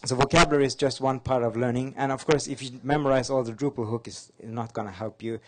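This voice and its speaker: A man's voice: medium pitch, fairly even intonation, quick pace, fairly bright tone. Casting: man from Norway, native Norwegian speaker, conference presenter, upbeat and light-hearted. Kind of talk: fast-paced slide talk. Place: conference hall.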